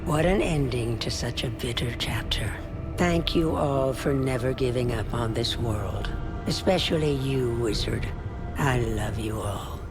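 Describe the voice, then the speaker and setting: A woman voicing an ancient raven goddess in a fantasy game, gentle and regal, with earnest, measured, tender phrasing. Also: extremely low pitch. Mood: tender, grateful. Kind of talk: scripted fantasy game cutscene acting. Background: music.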